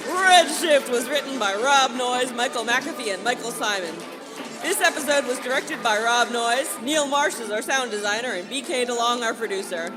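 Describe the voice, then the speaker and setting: A woman announces a mock-dramatic pulp radio serial in a high, emphatic voice. Loud music and a noisy live crowd sit behind her. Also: moderate pace. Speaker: narrator.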